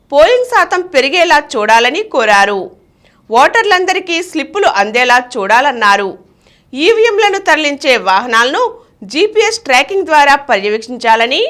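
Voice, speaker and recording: Female news reader, high pitch, very varied melody, scripted bulletin delivery. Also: a bit bright.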